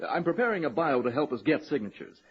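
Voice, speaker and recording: Frazzled earnest campaign man, very raspy, radio comedy, dull old recording. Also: on the high side, very animated, quick pace, heavily acted. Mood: exasperated. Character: earnest, exasperated, wry male campaign organiser.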